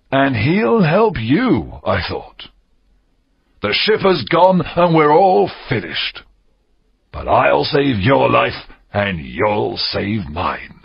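An actor playing a fierce, cunning pirate captain in an audiobook drama, gravelly and husky, with a theatrical drawl. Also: male voice, mid-range voice, dull audio, exaggerated voice. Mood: conspiratorial. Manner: theatrical, drawling, swooping delivery.

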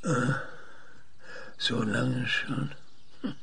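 Actor as halting, pedantic older male scientist, creaky. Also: medium pitch, steady tone, heavy background noise. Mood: pedantic, hesitant. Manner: hesitant stammering, pedantic, insistent. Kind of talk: German audio drama acting.

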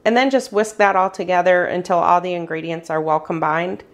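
A woman's voice: on the low side, steady tone, fairly quick. Chatty woman walking through recipes. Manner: chatty, explains step by step. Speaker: cooking channel host. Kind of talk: casual meal prep tutorial. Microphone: voice-over while hands cook on camera.

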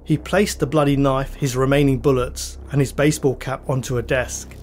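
Male true crime narrator, medium pitch, measured dramatic delivery for a podcast with music underneath. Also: little pitch movement, darkish tone. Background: background music.

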